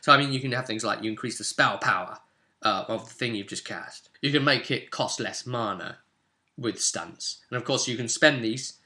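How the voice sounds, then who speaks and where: A man's voice: mid-range voice, moderate pace, bright, forceful tone. A man hosting an informal tabletop roleplaying guide, talking directly to viewers in a conversational, explanatory way. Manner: conversational, addresses viewers directly with tag questions. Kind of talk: informal explainer, part ad-libbed, part reading rules aloud.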